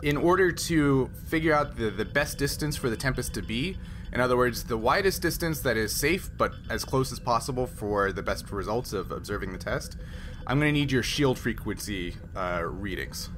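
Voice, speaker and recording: A man voicing a polite military chief scientist in a tabletop game, speaking in a measured, explanatory way. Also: medium pitch, animated, a bit bright, faint music behind, natural voice. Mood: businesslike. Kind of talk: tabletop role-play. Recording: clearly noisy group recording.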